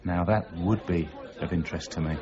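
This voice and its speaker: An actor playing a sly, jovial card-playing rogue in a period radio drama, teasing and ingratiating. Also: male voice, deep voice, fairly quick, lo-fi sound, a crowd in the background, lightly acted. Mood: jovial, coaxing.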